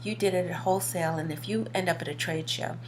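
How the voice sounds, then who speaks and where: A woman instructor with a slightly hoarse voice gives an informal promotional talk, conversational and self-correcting, repeating words for emphasis. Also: fairly low pitch, fast, a little hiss or hum, poor audio. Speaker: fashion course instructor.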